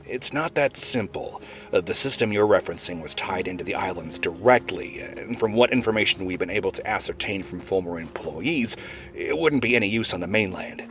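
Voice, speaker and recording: A man playing a weary, gruff wildlife agent in an audio drama, speaking in a measured, serious way. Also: low voice, lively intonation, a bit bright. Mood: grave, explanatory.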